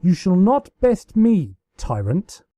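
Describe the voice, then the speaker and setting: A man's voice: sits high, huge pitch swings, slow, mellow, no echo, clean studio sound, pitched above the performer's own voice, heavily acted. A man voicing a mischievous younger pony princess in a storybook, with archaic, theatrical, taunting speech. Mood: defiant. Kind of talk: amateur storybook character reading.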